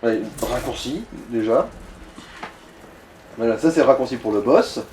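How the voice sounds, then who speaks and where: A man's voice: medium pitch, lively intonation, moderate pace, a little mellow, echoey room, a little hiss or hum, low-quality recording. A man giving casual, coarse and slangy gaming commentary as he guides another player. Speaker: let's play co-host guiding the player.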